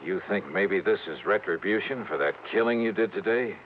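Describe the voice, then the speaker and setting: An actor playing a wry, cool insurance investigator in a 1950s radio noir drama. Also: male voice, on the low side, expressive, fairly quick, slight background noise, lightly acted. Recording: muffled old radio broadcast with music.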